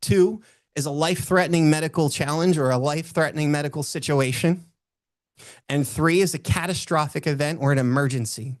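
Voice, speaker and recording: A man with a booming voice speaking as a motivational speaker, telling personal stories and repeating phrases for emphasis. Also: fairly quick, no room sound, studio quality. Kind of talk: motivational talk with personal storytelling.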